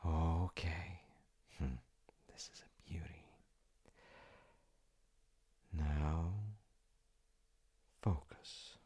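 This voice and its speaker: A man playing a polite, eager wizard fiance in an ASMR audio roleplay, with theatrical delivery and soft muttered asides. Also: rumbling low, flat delivery, very slow, pauses often, slightly rough, exaggerated voice. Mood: muttering to himself. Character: eager, secretly magical wizard fiance running an enchantment business.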